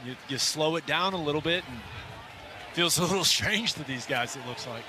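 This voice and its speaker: A man's voice: fairly high pitch, animated, normal speed. A man chatting casually as a commentator on a live football radio broadcast. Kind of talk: casual live sports chat. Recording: noisy stadium broadcast.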